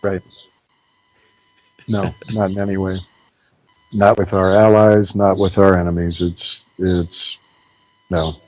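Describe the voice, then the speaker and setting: A man co-hosting a podcast, mostly chiming in with short agreement and signing off gently. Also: low-pitched, flat delivery, slow, pauses often. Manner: brief agreeing replies, gentle upbeat sign-off. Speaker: podcast co-host. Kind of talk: casual political chat. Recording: muffled dull audio.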